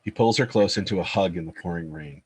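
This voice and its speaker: A man's voice: sits low, fast, darkish tone, breathy edge. A man narrating screenplay stage directions at a table read.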